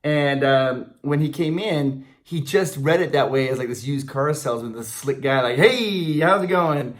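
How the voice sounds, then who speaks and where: Animated American man chatting. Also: mid-range voice, normal speed. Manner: animated, enthusiastic, jokey. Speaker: video channel co-host, convention MC. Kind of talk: casual chatty banter to camera. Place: small indoor room before a banner.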